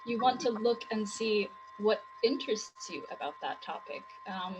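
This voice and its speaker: A woman's voice: medium pitch, expressive, normal speed, lo-fi sound, background noise. A woman writing tutor, losing her voice, explaining in long run-on sentences full of rhetorical questions. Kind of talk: conversational teaching talk.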